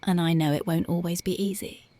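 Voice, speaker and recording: A woman voicing a tender, dreamy young punk girlfriend in an ASMR roleplay, speaking softly and often slipping into whispers. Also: lowish voice, fairly even intonation, fairly quick, no room sound, close to an everyday voice. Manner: soft, often drifting into whispers. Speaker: ASMR voice actress.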